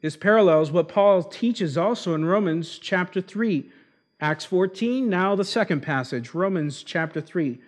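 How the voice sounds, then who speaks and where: A man with Argentine roots preaching an expository sermon, slowing down to stress key words. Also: high voice. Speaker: pastor.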